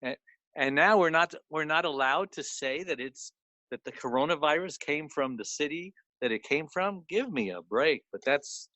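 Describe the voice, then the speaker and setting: A man's voice: a little high, lively intonation, normal speed, pushed and bright, dry recording. Male radio co-host, very dry close sound. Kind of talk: conversational radio interview.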